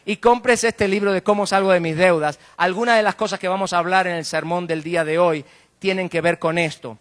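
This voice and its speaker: High-pitched Argentine male preacher. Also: fairly even intonation, a little fast. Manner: colloquial, asks rhetorical tag questions. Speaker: guest preacher. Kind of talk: conversational sermon with jokes.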